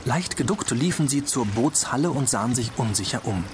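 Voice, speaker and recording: A man reading the narration of a children's radio drama, calm and even. His voice sits mid-range. A clear hiss runs under the recording. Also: medium pitch, brisk. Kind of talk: scripted storybook narration. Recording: audio drama with clear noise behind.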